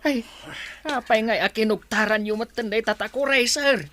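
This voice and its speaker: A woman's voice: a little high, moderate pace, very noisy, natural voice. A woman voicing a meek, jittery male servant who stammers and frets. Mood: fretful. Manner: stammering, fretful. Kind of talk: radio drama acting.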